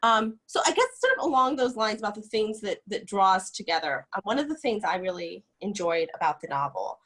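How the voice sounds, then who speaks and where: A woman scholar interviews an author over a video call, her voice husky and her pitch moving in lively swings. She asks long, meandering questions padded with "sort of" and other hedges. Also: brisk. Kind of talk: conversational interview.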